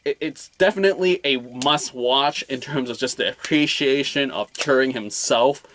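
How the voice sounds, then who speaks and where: A man's voice: mid-range voice, moderate pace, fairly bright tone, slight background noise. A man reviewing a film in a casual, unscripted way, rambling colloquially and often repeating words and phrases.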